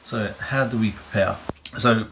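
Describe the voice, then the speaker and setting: A man with a croaky voice, hosting a prepping channel and giving rambling practical advice full of fillers and "you know"s. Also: lowish voice, a little slow, a bit bright, slight background noise, poor audio. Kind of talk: casual advice monologue.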